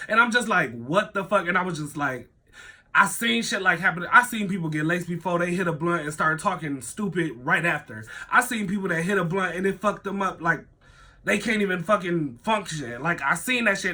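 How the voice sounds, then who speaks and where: A young man with a stuffy nose, telling a personal story in a casual vlog, animated, full of slang and "like", swearing freely. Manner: animated, acts out his own thoughts in a higher voice.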